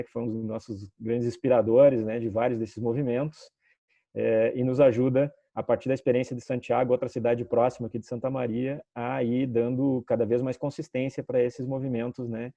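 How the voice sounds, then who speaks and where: A man from southern Brazil speaks on a video call in a very dark, mellow voice that sounds close and dry. He greets people formally but rambles, tagging nearly every phrase with fillers and restarting his sentences. The call audio is dull and lo-fi. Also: medium pitch, little pitch movement, fairly quick, gravelly, no room sound. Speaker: city education representative.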